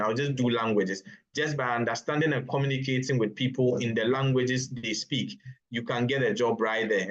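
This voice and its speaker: A man from Ghana with a Ghanaian English accent, answering as a webinar panelist in a measured, explanatory way with hesitant restarts. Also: medium pitch. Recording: dull lo-fi call audio.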